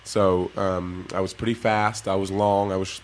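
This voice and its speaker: A man, a former professional basketball player, telling his life story to kids in a relaxed way and laughing often. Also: low voice, moderate pace, a little hiss or hum. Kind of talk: casual interview talk to kids.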